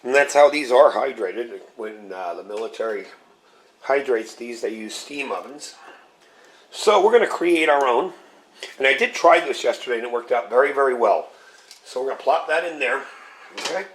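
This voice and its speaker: A man's voice: medium pitch, moderate pace. A man with a slightly scratchy voice, getting over a cold, hosting a casual cooking vlog and explaining each step as he works. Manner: relaxed, explains step by step while handling gear. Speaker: channel host.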